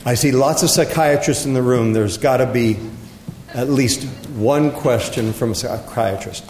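Slow-talking moderator in an echoey hall. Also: male voice, medium pitch, a little mellow, slight background noise. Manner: coaxing, informal. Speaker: session moderator. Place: lecture hall. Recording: echoey hall with background ambience.

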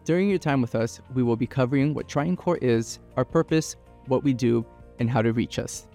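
A man giving a measured, informative scripted presentation about his team. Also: medium pitch, gravelly, mellow, faint music behind. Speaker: team member.

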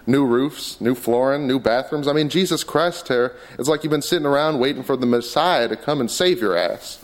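A man's voice: medium pitch, moderate pace, voice pushed up, close to an everyday voice. A man reading aloud and voicing a blunt, crude middle-aged cowboy investor in a story. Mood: pushy.

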